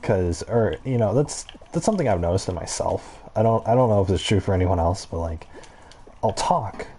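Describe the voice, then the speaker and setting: A man with a very low, dark voice talks casually while playing a game. His delivery is rambling and halting, often circling back to restate a thought. There is faint noise in the recording. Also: lively intonation. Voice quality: low-pitched voice. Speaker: gaming video creator. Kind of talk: casual gameplay commentary, rambling chat.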